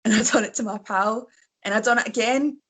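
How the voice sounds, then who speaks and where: A woman's voice: on the high side, fairly quick, fairly bright tone, breathy edge, rough recording. Scottish woman in her thirties, rambling. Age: 30s. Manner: rambling, self-mocking storyteller. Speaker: guest, pro wrestler. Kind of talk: casual podcast chat.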